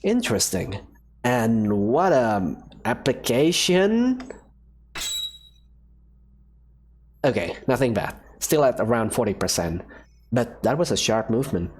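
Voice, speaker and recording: A woman's voice: very deep voice, big pitch swings, measured pace, a little mellow, slightly rough, voice pushed down, exaggerated voice. A woman doing a jittery young male student. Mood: unsure. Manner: jittery, stammering, anxious. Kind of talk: fan game voice acting.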